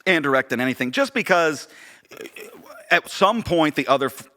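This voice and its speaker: A man co-hosting a podcast in casual conversation, agreeable and quick to affirm his partner as he explains his points. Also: fairly high pitch, lively intonation, bright, forceful tone. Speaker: podcast co-host, theater and audio director.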